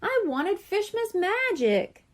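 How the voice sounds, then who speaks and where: A woman's voice: very high-pitched, big pitch swings, takes it slowly, voice pushed up, exaggerated voice. A woman voicing a sensitive young mer-kitten girl, an earnest and plaintive big sister in a storybook. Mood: glum, whining.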